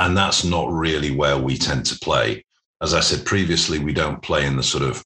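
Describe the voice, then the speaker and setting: A man speaking as an interview guest, explaining business matters in measured, corporate phrasing. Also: a bass voice, pushed and bright. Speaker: podcast guest, private equity investor.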